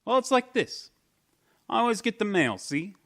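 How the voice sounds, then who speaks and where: A man's voice: high-pitched, very animated, moderate pace, fairly bright tone, full character voice. An actor playing a brash, slangy bodyguard and small-time chiseler in a radio mystery, with cocky wise-guy talk. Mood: sly, explaining.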